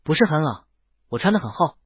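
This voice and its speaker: A male narrator plays a bashful, sincere private cook in a romance audiobook, eager to reassure. The voice is fairly high and husky, on a dull recording. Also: very animated, a little fast, dry recording. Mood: earnest, embarrassed. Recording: dull, lo-fi, close dry sound.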